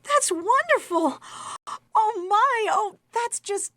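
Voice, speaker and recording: A woman's voice: very high voice, lively intonation, takes it slowly, fairly bright tone, dry recording, exaggerated voice. Chatty, girlish young woman, close dry sound. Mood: excited, gushing. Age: young adult. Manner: gushing, chatty, earnest. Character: excitable, chatty, girlish young office worker seeking help. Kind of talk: radio drama acting.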